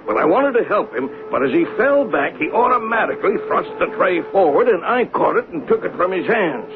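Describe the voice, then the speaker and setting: Plain-spoken train conductor, noisy old radio. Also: male voice, high-pitched, big pitch swings, a little fast, narrow, tinny audio. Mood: tense recounting. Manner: plain, conversational storytelling. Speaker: actor narrating and playing a train conductor. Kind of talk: 1970s radio drama acting and narration. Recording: noisy, band-limited vintage radio broadcast.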